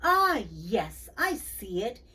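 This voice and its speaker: A woman's voice: fairly high pitch, sing-song, slow, pushed and bright, husky, distant mic, exaggerated voice. A woman voicing a self-important male farm horse in a storybook, pompously declaring. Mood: satisfied.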